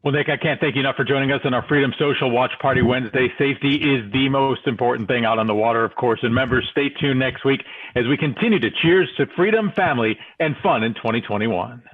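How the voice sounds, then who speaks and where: A man's voice: mid-range voice, fast talker, hardly any pauses, husky, dry recording. A man in his thirties hosting a live online show, presenting over a video call. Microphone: video call microphone. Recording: dull, lo-fi video call audio.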